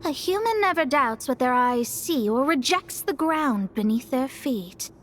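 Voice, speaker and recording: Proud, high-voiced young astrologer mage. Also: female voice, lively intonation, a little slow, a bit bright, slight background noise. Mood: ominous, solemn. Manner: haughty, dramatic, huffy. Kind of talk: video game voice acting.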